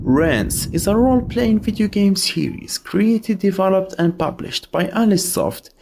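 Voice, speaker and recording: A man's voice: high voice, expressive, a little slow, creaky, background noise. A man narrating a scripted video essay, giving opinionated reviews of games.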